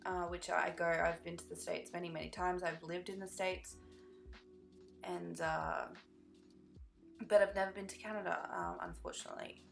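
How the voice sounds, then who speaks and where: A young woman chatting casually over a game build in a rambling, easily sidetracked way, her voice slightly croaky from tiredness. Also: lowish voice, little pitch movement, moderate pace, long pauses, soft background music. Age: young adult. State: slightly croaky from lack of sleep. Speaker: gaming video creator.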